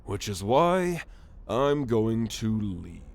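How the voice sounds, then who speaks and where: A man's voice: fairly low pitch, very animated, takes it slowly, creaky, darkish tone, heavily acted. A man playing a grumpy, cynical, world-weary alien spaceship crewman who grumbles sardonically in an ASMR roleplay audio drama. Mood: irritated, sardonic.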